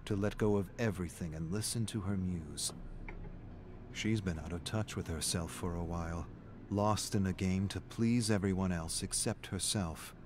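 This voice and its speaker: Guy voicing a really low brooding narrator for dark game lore, slow deliberate storytelling, noisy ambience behind. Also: natural voice. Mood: brooding, ominous. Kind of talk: dramatic scripted story narration.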